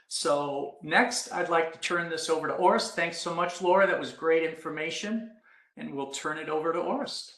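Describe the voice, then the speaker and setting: A man hosting a webinar, thanking a speaker and handing over to the next one in a friendly, measured way. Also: fairly high pitch, fairly bright tone, echoey room. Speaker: webinar host. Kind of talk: moderating a webinar.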